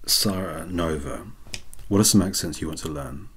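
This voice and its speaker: A soft-spoken man, reading out questions and answering them in a wry, self-deprecating way. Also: low-pitched, moderate pace, breathy edge. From Wales. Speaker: ASMR channel host.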